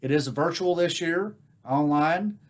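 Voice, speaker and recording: An American man speaking informally as host of a virtual club meeting, giving announcements in a halting, rambling, folksy way. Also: on the high side. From United States. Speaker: Rotary district governor hosting online club meeting. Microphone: video call.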